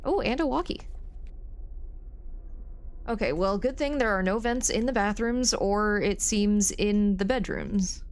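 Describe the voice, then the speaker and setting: Excitable young woman streamer. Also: medium pitch, unhurried, pauses often. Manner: excitable, swears often. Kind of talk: casual gameplay chat.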